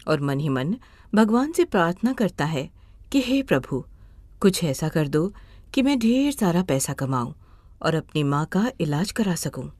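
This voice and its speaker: A woman's voice: deep voice, a little mellow, no echo. A woman narrating a scripted moral story as its storyteller.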